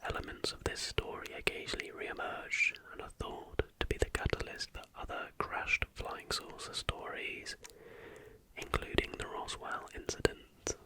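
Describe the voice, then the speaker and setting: An ASMR narrator who whispers from start to finish. The delivery is soft and unhurried, following a script like a documentary read, with breath in place of voiced tone. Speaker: host.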